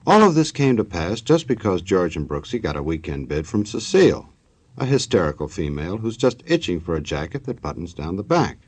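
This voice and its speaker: A man's voice: sits low, sing-song, fairly quick, husky, heavily acted. An actor playing a sardonic, wisecracking male narrator in a 1940s radio show. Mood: sardonic, theatrical.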